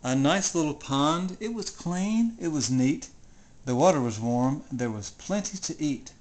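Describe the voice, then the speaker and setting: A storyteller giving an expressive, dramatic reading of a rhyming children's story. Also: mid-range voice, unhurried. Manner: theatrical, expressive.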